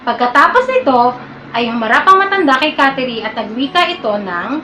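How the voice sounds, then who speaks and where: A woman's voice: sits high, very animated, brisk, pushed and bright, slight background noise. A young female narrator reading a school puppet show story carefully and announcing the cast. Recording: echoey room with faint music.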